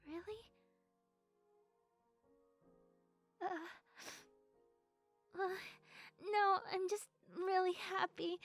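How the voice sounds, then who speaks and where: Voice actress as shy goth bestie, really high soft breathy voice, slow n stammery, audio roleplay. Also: female voice, full character voice. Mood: overjoyed, timid. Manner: hesitant, stammering, soft-spoken. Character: shy young goth best friend, sweet and nervous. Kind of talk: intimate audio roleplay, scripted confession.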